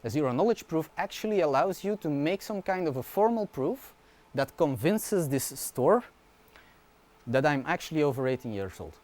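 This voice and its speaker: A man, a university researcher giving a relaxed conference talk, often checking in with the audience. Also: fairly high pitch, moderate pace. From Belgium. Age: 20s. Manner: casual, informal explaining with frequent "yeah?" and "right?" check-ins; fillers and restarts, light humor.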